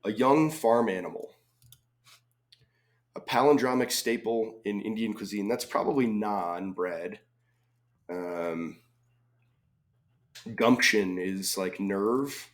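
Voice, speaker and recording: A man with a lowish voice, a little hoarse from being sick, talks slowly and unhurriedly. He reads clues aloud and muses over his guesses in a relaxed, thinking-aloud way. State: slightly hoarse from a cold. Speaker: crossword solving video host. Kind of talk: casual live solve, thinking aloud.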